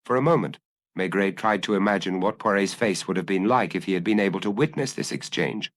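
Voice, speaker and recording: A man's voice: deep voice, a little fast, no echo. A man narrating a detective story as a scripted audiobook reading.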